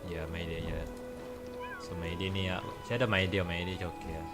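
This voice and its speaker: A man's voice: deep voice, level tone, a little fast. A man with a northern Malaysian accent chatting casually on a game livestream in a rambling, colloquial way. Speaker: game streamer. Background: game music.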